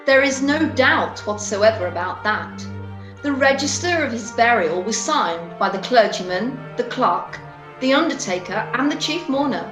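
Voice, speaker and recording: A woman narrating an amateur radio play in a dramatic, deliberate storytelling manner. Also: fairly high pitch, echoey room.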